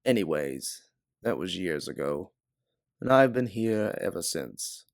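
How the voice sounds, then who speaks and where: A man's voice: sits low, big pitch swings, a little slow, creaky, no room sound, studio quality, pitched below the performer's own voice, full character voice. A man voicing a weary, candid stranded ex-pilot in a science fiction audiobook. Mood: reminiscing. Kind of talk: audiobook character dialogue.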